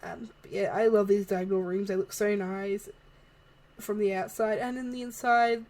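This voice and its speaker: A woman, chatting softly and laughing often while narrating a game build, her voice a little worn. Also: mid-range voice, fairly even intonation, moderate pace. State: losing her voice. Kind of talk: casual voice-over chat. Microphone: home desk microphone, moved closer partway.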